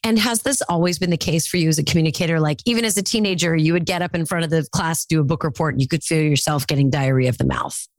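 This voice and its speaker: An upbeat woman in her late forties hosts a coaching conversation, encouraging and playful. Her voice sits a little low for a woman, and the sound is dry and close, with no room around it. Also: fast, hardly any pauses, dry recording. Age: 40s. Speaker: podcast host and speaking coach. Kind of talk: coaching call interview.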